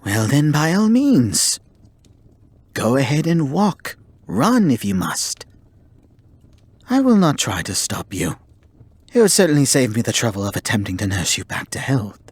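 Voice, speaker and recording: A man playing a haughty, grudgingly caring male kitsune in an ASMR roleplay, speaking formally and theatrically with a breathy, husky voice. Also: medium pitch, wildly swooping pitch, a little slow, long pauses, no room sound, heavily acted. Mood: sarcastic, exasperated. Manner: formal, theatrical, exasperated scolding. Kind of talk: ASMR roleplay acting, addressing the listener.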